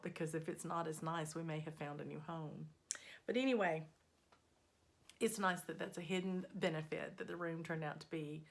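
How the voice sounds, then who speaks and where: Slightly scratchy woman host. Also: on the low side. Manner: unhurried, friendly, lots of ums. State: slightly scratchy voice, recovering from a cold. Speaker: needlework vlog host. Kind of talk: casual chatty progress update.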